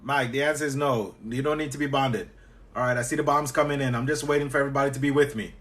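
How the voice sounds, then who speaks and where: A man's voice: medium pitch, steady tone, fairly bright tone. Trinidadian man coaching a live stream. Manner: chatty, repeats quick acknowledgements to viewers. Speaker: business coach. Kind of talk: live-stream teaching while answering chat.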